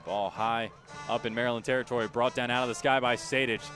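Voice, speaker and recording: A man's voice: medium pitch, fairly even intonation, quick pace, a bit bright, faint music behind. A male play-by-play announcer with a mid-range voice calls a soccer match live on radio. He follows the action in clipped, urgent phrases with emphatic delivery. Outdoor stadium sound sits faintly behind him.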